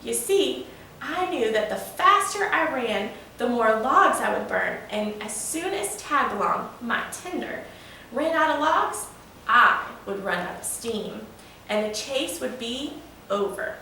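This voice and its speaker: A woman's voice: fairly high pitch, very animated, measured pace, bright, forceful tone, full character voice. A woman voicing a proud old male steam locomotive spinning a folksy, boastful yarn for a children's storybook. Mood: indignant, sly. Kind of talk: children's storybook read-aloud. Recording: roomy, echoing, distant mic with faint noise.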